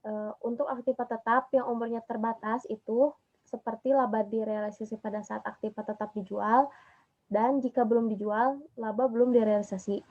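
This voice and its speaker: Young female student presenter, slightly high pitch, explanatory, muffled recording. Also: fairly even intonation, normal speed. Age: young adult. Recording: dull lo-fi audio.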